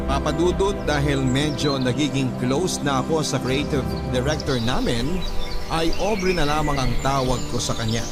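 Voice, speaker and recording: A man narrates a scripted romance as a first-person confession. His voice is mid-pitched and his delivery is measured and sentimental. Music plays loudly beneath him throughout. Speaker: narrator of a letter-sender's love story. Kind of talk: scripted first-person dramatic narration in Tagalog love podcast. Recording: loud music under the voice.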